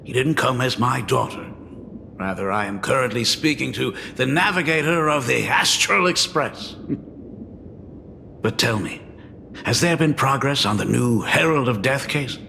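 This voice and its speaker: A man's voice: medium pitch, a little slow, gravelly, pushed and bright, slight background noise. A middle-aged actor playing a polite, hesitant male school dean and father in a video game. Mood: measured. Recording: reverberant game audio with music.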